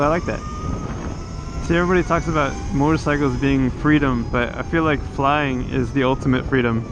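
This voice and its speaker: An easygoing American man talks through his ride in a loose, musing way, with plenty of fillers and asides. His voice sits at an ordinary pitch. Road and outdoor noise runs clearly underneath him. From United States. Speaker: motovlogger host. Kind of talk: casual vlog chat while riding. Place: outdoors on an electric motorcycle.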